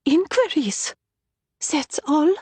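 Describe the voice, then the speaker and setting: A woman's voice: high voice, lively intonation, takes it slowly, darkish tone, no room sound, voice pushed up. A woman voicing an anxious, pleading young woman, a wartime resistance courier in an audiobook, with a pinched, urgent delivery.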